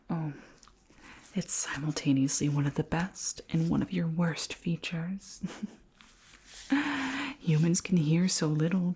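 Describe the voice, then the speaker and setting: A woman voicing a curious, flirty alien queen in a whispered ASMR roleplay, breathy and close to the microphone, with formal, musing speech. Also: sits low, darkish tone, full character voice. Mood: flirty, teasing. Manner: slow, deliberate, formal wording with musing hums. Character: flirty alien queen, curious, doting, condescendingly fascinated by humans.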